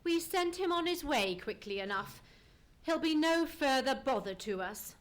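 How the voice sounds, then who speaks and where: A woman playing a shrewd, assured gentleman detective, formal and precise, in a live radio play. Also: high voice, lively intonation, moderate pace. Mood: resolute. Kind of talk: live old-time radio play acting.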